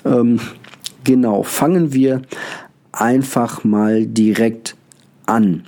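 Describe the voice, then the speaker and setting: A man's voice: medium pitch, fairly even intonation, extremely slow, mellow. A male podcast host with a cold and an occasional cough, talking casually and hesitantly, with many filler sounds and restarts. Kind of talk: casual solo podcast monologue.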